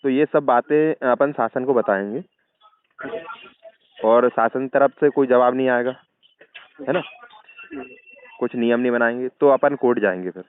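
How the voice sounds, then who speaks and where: A man's voice: medium pitch, measured pace, long pauses, slight background noise. A man with a central Indian Hindi accent explains things persuasively on a phone call, often checking that the listener agrees. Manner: persuasive, checks agreement with frequent tag questions. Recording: muffled, tinny phone-call recording.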